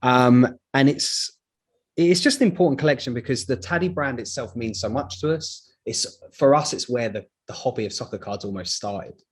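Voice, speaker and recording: A man presenting in a casual, enthusiastic product chat, with a slightly husky voice. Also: medium pitch, moderate pace. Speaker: card company presenter.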